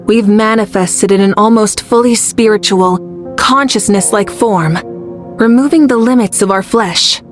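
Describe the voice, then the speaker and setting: A woman voicing a confident female fire goddess and warrior who speaks calmly and with assurance in a video game. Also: mid-range voice, unhurried, lightly acted. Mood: resolute. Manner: calm, assured. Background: game music.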